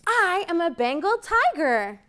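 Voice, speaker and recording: A woman in her thirties reads a picture book to young children, her voice high and swooping with wide leaps in pitch. She takes her time, speaking slowly and clearly, with excited rising counts. She is recorded in a library room by a camera at mid distance. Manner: animated storytime reading with rising counts. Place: library program room, indoors.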